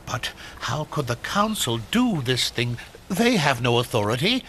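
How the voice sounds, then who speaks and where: An actor playing an indignant, practical believer, speaking emphatically in an audio drama. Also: male voice, medium pitch, very animated, unhurried, a bit bright, slight background noise. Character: indignant, practical male believer questioning injustice.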